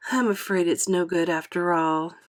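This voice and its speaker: A woman voicing an earnest, hesitant young boy with a halting, murmuring delivery in a storybook read-aloud. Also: on the low side, steady tone, close to an everyday voice. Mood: apologetic.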